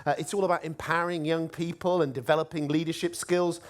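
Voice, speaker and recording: A male host with a fairly high voice introduces a contestant at a live competition. He reads out the background in a measured way, then lifts his voice into a rousing call for applause. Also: flat delivery. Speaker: event host.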